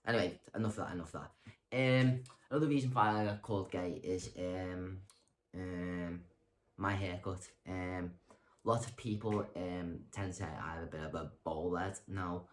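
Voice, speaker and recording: A young man with a low voice talks straight to the camera in a loose, rambling monologue. He stumbles through ums and restarts, keeps correcting himself, and delivers his jokes deadpan. Faint noise sits behind him. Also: moderate pace. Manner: rambling, hesitant, full of ums and self-corrections; deadpan self-mocking humor. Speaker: vlogger. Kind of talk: casual talking-to-camera monologue.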